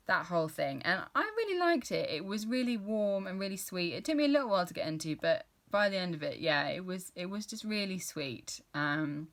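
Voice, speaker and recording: A woman's voice: lowish voice, a bit bright. A British woman in her thirties, chatting casually and enthusiastically about books she has read, rambling a little and using many fillers. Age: 30s. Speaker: book vlogger. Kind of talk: casual book review chat.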